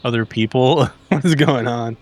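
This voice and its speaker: A man's voice: mid-range voice, normal speed. A man chatting casually as a player in a tabletop roleplaying game.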